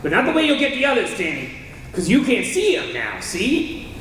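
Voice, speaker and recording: A man's voice: very high voice, huge pitch swings, moderate pace, fairly bright tone, a little hiss or hum, full character voice. An actor playing a condemned male killer in a radio drama, talking tough gangster slang, tense and scheming. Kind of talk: 1930s radio drama acting. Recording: echoey old radio recording from far off.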